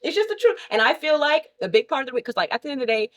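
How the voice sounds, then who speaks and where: A woman's voice: high voice, very animated, rapid-fire. Brash laughing woman in her thirties. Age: 30s. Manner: brash, laughing, blunt storytelling. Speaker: talk show guest. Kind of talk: candid casual chat.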